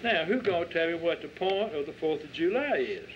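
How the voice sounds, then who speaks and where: A man's voice: fairly high pitch, expressive, brisk, voice pushed up, lightly acted. A man voicing a folksy, patient rural Southern reverend who questions children like a preacher, in a dramatic story reading. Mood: patient, agreeing. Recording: dull lo-fi audio with faint noise.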